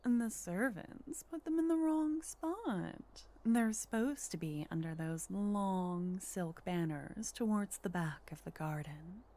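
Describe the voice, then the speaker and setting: A woman playing an unhinged, flirtatious yandere arsonist in an ASMR roleplay, teasing and conspiratorial in a breathy half-whisper. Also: mid-range voice, takes it slowly, hardly any pauses, dark tone. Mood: bossy, secretive.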